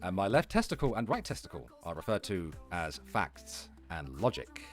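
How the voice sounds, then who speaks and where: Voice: sits low, big pitch swings, normal speed. A livestream host chatting casually and reacting, with foul-mouthed, mock-indignant joking, over music.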